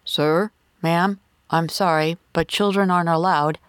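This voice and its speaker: A woman's voice: on the low side, fairly even intonation, unhurried, a bit bright, smooth, no echo, studio quality. A woman voicing a nervous, apologetic male security guard in an audiobook. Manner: apologetic, formal.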